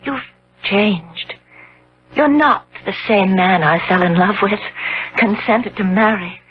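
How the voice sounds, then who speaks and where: A woman's voice: medium pitch, takes it slowly, lightly acted. An actress playing a devoted, anxious young fiancée in a 1970s radio drama, earnest and hesitant in her melodramatic delivery. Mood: worried. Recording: dull, noisy old radio broadcast.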